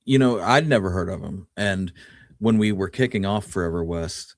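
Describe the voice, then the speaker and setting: Low-voiced man in relaxed conversation. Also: normal speed, no room sound, studio quality. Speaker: podcast co-host. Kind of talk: casual chat.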